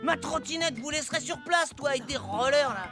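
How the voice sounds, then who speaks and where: A cocky young cartoon boy with a very high voice. He is boastful, teasing and quick to get worked up. Music plays clearly behind him. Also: expressive. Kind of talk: French cartoon dub acting.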